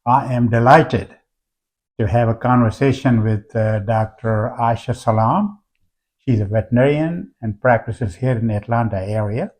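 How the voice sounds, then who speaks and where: Older male interviewer, formal and measured, with hesitant fillers, mid-pitched. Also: a little mellow. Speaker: interviewer, retired professor.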